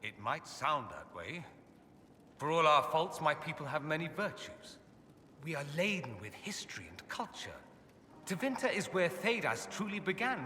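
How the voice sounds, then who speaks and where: A proud, flamboyant mage of noble birth for a fantasy game. His delivery is highly animated and bright, full of urbane wit and dry self-mockery. It rings through a reverberant hall. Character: witty, proud, theatrical aristocratic mage exile. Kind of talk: video game dialogue acting. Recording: echoey reverberant hall, faint background noise.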